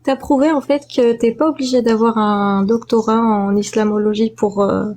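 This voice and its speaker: A woman's voice: on the high side, steady tone, normal speed, a little mellow, slight background noise. A woman on a live online voice call giving earnest feedback, explaining her point at length with fillers. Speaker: debate panelist giving feedback. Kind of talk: casual live-stream discussion.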